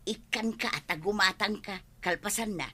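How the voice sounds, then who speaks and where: An actress playing a pushy, scheming village wife with a bossy, insistent manner in a radio comedy drama. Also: female voice, medium pitch, moderate pace, bright, forceful tone.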